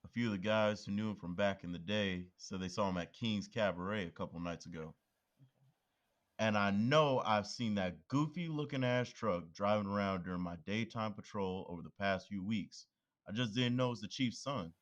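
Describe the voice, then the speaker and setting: A man voices a laid-back young cop who teases his friend in an easygoing way. His voice sits fairly low. He sounds like a character in an audio drama. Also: moderate pace, dull audio, natural voice. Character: joking young male police officer.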